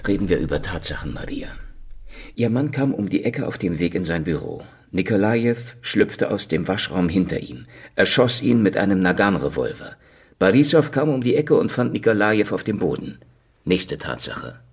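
A man acting a cynical, world-weary secret police investigator in a noir radio play, with a gravelly, sardonic delivery. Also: low voice, big pitch swings, normal speed, no room sound, heavily acted. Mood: sardonic, pressing. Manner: sardonic, dry. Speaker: actor and narrator in a radio play. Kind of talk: noir radio drama, hard-boiled first-person narration.

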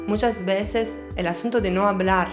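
A woman with an Italian accent, Italian being her first language, answering interview questions as a radio artist. She talks thoughtfully, pausing often to search for her words. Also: mid-range voice, steady tone, over music. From Naples, Italy.

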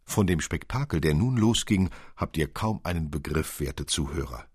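A man narrating a literary fairy tale for radio as an expressive storyteller. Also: rumbling low, moderate pace, slightly rough, dry recording, clean studio sound.

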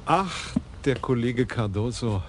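A man's voice: medium pitch, animated, slow pace, background noise. An actor playing an easygoing, cynical city policeman who talks in a jovial, offhand way.

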